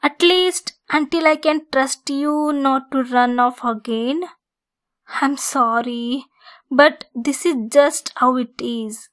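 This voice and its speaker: Yandere centaur played by a woman for ASMR roleplay: female, high voice, unhurried, clingy and domineering. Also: fairly bright tone. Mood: insistent, possessive. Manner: slow, deliberate, insistent, clingy.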